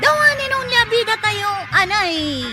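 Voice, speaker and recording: A woman presents a radio drama in a theatrical style. Her voice is extremely high and very animated, delivered slowly over music. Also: raised voice. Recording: music behind the voice.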